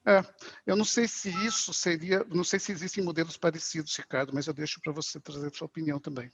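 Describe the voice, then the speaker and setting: A man's voice: a little high, fairly even intonation, quick pace, husky, no echo. A man with a Brazilian accent presenting conversationally in a webinar, informal, often adding little checking tags. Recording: dull, lo-fi online call audio.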